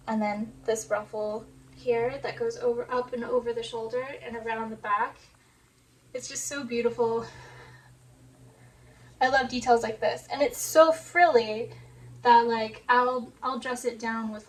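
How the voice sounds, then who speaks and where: A woman with a hoarse voice, still recovering from laryngitis, rambles softly through a casual sewing vlog, padding her thoughts with "like" and "um". Her voice sits a little higher than usual and moves in a narrow, even band. She is recorded on a phone in her home sewing room and sounds somewhat far from it. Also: slight background noise. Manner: soft-spoken, rambling, many "like" and "um" fillers. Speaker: sewing vlogger. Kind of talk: casual chat to camera. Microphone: phone camera.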